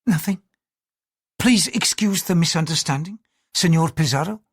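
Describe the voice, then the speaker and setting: A man's voice: fairly high pitch, moderate pace, slightly rough, no echo, studio quality, pitched above the performer's own voice. A man voicing a formal, polite Japanese noblewoman who speaks with strained courtesy.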